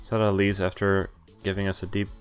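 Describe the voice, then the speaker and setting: A man's voice: deep voice, monotone, brisk, clear, resonant tone. A man streaming a visual novel, reading its narration and dialogue aloud in a casual, lightly acted way, with offhand reactions. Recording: music playing behind the voice. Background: game music.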